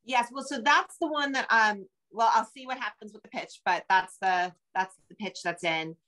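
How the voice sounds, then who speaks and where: A woman's voice: a little high, normal speed, sharp tone, rough recording. A middle-aged American woman speaking as an author guest on a video call, explaining casually and often circling back to rephrase. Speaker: novelist and screenwriter guest. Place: room with bookshelves, indoors.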